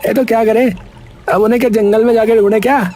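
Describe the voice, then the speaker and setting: A man's voice: sits high, steady tone, fast talker, dark tone, faint music behind, natural voice. A man voicing a timid young village friend in a cartoon story, whining and pleading in slangy speech. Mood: protesting.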